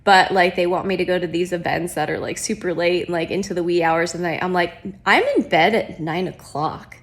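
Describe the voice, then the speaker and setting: A woman's voice: lowish voice, steady tone, brisk. A woman hosting her own podcast as a life coach, talking casually with lots of fillers, sounding nasal from a cold. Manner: chatty, many fillers like "um" and "like". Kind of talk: casual solo podcast talk.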